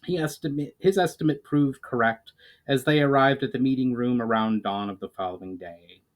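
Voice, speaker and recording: A man's voice: medium pitch, moderate pace. A man giving a dramatic storytime reading as an author and narrator. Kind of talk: dramatic audiobook-style reading.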